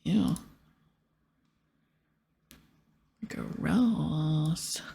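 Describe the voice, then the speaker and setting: Woman whispering as a breathy sceptical adventurer. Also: low-pitched, drawn-out words, dark tone, voice pushed down, full character voice. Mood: incredulous. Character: plucky, sceptical young female adventurer. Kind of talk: whispered ASMR game dialogue.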